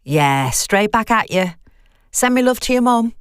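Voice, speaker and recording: A woman plays a concerned female friend in an audio horror story. She speaks frankly and briskly, as if in a phone conversation. The sound is dry and close. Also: medium pitch, lively intonation, clean tone, dry recording, voice pushed up, close to an everyday voice. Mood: puzzled, caring.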